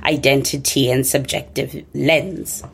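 A middle-aged woman from Zimbabwe, a native Shona speaker, giving thoughtful, measured interview answers with small self-corrections. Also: rumbling low, little pitch movement, gravelly, husky. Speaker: guest, social entrepreneur.

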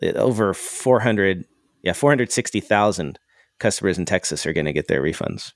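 A man co-hosting an accounting podcast in casual chat, explaining the news in a measured way while a bit stuffed up. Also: low-pitched, expressive, no echo, studio quality. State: stuffed up from a cold. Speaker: podcast co-host.

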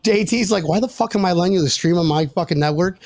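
A man's voice: sits high, fast, creaky, dry recording. A man hosting a casual sports podcast with jokey, self-deprecating banter and salty language. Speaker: podcast host.

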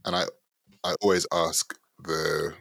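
A man in his late twenties interviewing a guest, asking loose, rambling questions in a relaxed way. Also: low voice, unhurried, vocal fry, no echo. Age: 20s. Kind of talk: conversational interview.

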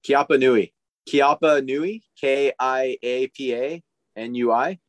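A man's voice: mid-range voice, steady tone, slow pace, dry recording. A man in his forties, a guest on a podcast, chatting casually and rambling with many fillers and restarts. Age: 40s. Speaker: podcast guest, diver living on a boat. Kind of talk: casual interview chat.

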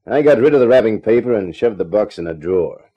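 An actor playing a wry, wisecracking private detective who narrates a 1950s radio drama. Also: male voice, on the low side, animated, fast, slightly rough. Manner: dry, wisecracking, laconic. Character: wry hard-boiled male private eye. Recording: dull 1950s radio recording.

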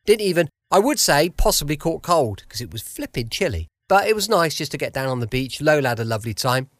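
Animated English man hosting a podcast. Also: a little high, a little fast, dry recording. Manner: informal, repeating himself. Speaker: podcast host. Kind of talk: casual rambling chat. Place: at home, close dry recording.

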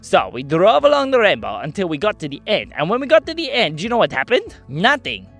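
A man's voice: sits high, quick pace, soft background music, full character voice. A man playing a small, mischievous magical creature who tells children's stories in an eager, childlike, singsong babble. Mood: gleeful mischief. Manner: excitable, singsong, playfully babbling. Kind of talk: storytelling for children, in character.

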